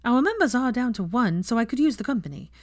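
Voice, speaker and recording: Lady doing a dry knowing granny in a story, no fuss, up-and-down pitch. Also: very animated, fast talker, a little mellow, no room sound. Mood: wry. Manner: matter-of-fact, wry. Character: matter-of-fact grandmother, wry and knowing. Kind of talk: fan fiction audiobook character voice.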